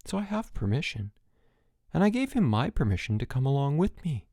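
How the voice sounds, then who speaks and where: A man doing a deadpan calm boy camper. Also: on the high side, a little fast, no echo, clean studio sound, pitched above the performer's own voice. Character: calm, poker-faced teenage boy camper.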